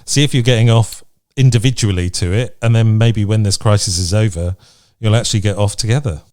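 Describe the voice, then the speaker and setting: Male podcast co-host, low pitch, candid and conversational, casual advice chat. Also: fairly quick, darkish tone. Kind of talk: casual advice chat, reading out listener questions. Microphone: remote recording from separate location.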